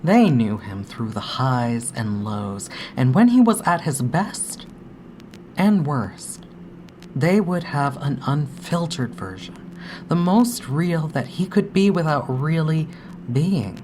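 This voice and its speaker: Over clearly audible background noise comes a woman performing a haunted, grieving daughter in an audio drama. Her voice is extremely low and she speaks slowly, with long dramatic pauses. She is intimate and full of longing, often sinking toward a breathy hush. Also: sing-song, heavily acted, rough recording. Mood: hesitant, haunted. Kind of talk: dramatic first-person audio drama monologue.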